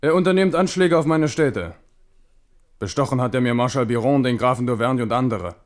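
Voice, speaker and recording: A man's voice: medium pitch, fairly quick, natural voice. An actor playing a proud, careworn French king in a German radio play, declamatory, commanding and ironic. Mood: accusing.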